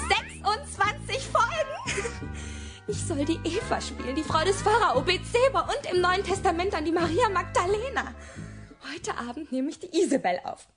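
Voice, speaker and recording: High-voiced, volatile bohemian actress. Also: female voice. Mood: proud, excited. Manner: theatrical, swinging between muttering and outbursts. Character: volatile, lovestruck young bohemian actress, exasperated with her lover. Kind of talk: German radio drama acting.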